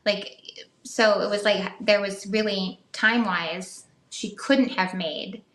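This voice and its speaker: Female podcast co-host, mid-pitched, chatty and open-minded, casual storyteller, roomy echoing sound. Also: fairly even intonation, takes it slowly, fairly bright tone. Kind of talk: casual storytelling chat about the paranormal. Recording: echoey room.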